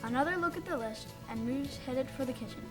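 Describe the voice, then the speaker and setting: A woman's voice: high voice, a little fast, rough recording. A woman co-reading a children's storybook aloud. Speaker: co-reader of a storybook. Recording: music playing behind the voice.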